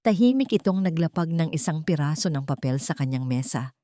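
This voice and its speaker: A woman with a low voice narrates a scripted drama. The recording is dry and close, with very little room on it, as she tells the story as narrator. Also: animated, fairly quick, mellow, no room sound.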